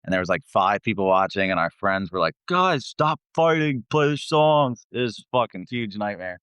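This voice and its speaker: A man's voice: lowish voice, big pitch swings, moderate pace, a bit bright, clear, resonant tone, no room sound. A man who sings in a band, chatting as a guest and telling tour stories in a laid-back, slangy, sweary way. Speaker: band vocalist, interview guest.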